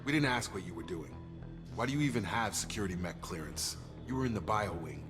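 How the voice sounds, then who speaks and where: An actor playing a steady, loyal young soldier in a video game, plain-spoken and informal with an American accent. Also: male voice, sits low, brisk, music in the background. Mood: firm, insistent. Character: steady, loyal male soldier and station security chief.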